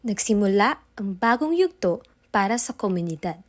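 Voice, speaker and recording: Casting: female drama narrator, Filipino accent, animated intonation, medium pitch, faint music. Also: normal speed. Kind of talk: scripted radio drama narration.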